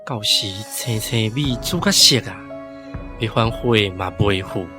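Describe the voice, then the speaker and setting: An actor with a Taiwanese accent playing a wealthy, persuasive, scheming married gentleman in a radio drama. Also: on the low side, animated, a little slow, over music.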